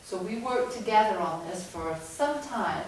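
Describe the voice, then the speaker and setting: A middle-aged woman with a loud voice gives a chatty, animated talk to an audience as a guest author. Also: medium pitch, a little slow. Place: large echoey room from far off. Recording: echoey room, distant mic, faint noise.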